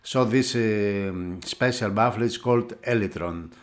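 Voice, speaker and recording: A man who is a non-native English speaker gives an unscripted hands-on product review, pausing often as he searches for words. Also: on the low side. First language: not English. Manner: halting, searching for words, with frequent hesitations. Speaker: product reviewer for an audio magazine.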